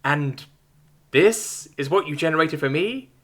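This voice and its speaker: A man's voice: a little high, lively intonation, measured pace, pushed and bright, pitched above the performer's own voice, heavily acted. A man voicing a tough, weary woman fighter who talks in a blunt, crude, exasperated way. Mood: dry.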